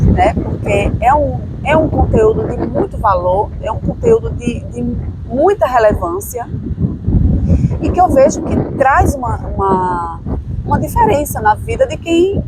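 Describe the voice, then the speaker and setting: Brazilian woman podcast guest explaining stuff earnestly, kinda high voice, loud rumbly noise all over it. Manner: earnest, explanatory, repeats phrases for emphasis. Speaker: podcast guest, speech therapist. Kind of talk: conversational interview talk.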